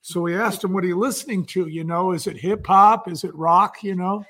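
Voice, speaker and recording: High-pitched, enthusiastic male storyteller. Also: a little fast, fairly bright tone. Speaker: guest entrepreneur.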